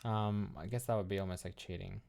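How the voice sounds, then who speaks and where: Rambling Australian man with a lowish voice. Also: fairly even intonation, fairly quick, vocal fry, a little mellow. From Melbourne, Australia. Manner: rambling, loose, thinking aloud. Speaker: livestream host. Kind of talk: casual livestream chat.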